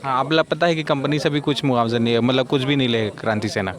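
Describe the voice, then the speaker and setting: A man's voice: mid-range voice, fairly even intonation, fast talker, a crowd in the background. A man with a Chhattisgarhi accent conducting a field news interview as a reporter in colloquial speech.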